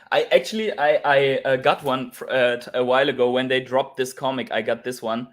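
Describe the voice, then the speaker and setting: A man's voice: mid-range voice, fairly even intonation, normal speed. A man hosting a casual interview chat over a video call, chatty and asking questions with frequent laughs. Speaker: host.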